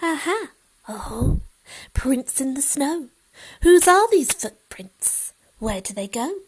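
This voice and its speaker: A woman's voice: sits high, very animated, takes it slowly, a little mellow, pitched above the performer's own voice, full character voice, low-quality recording. A woman voicing a brave, curious young monster girl, eagerly exclaiming and questioning. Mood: excited, curious.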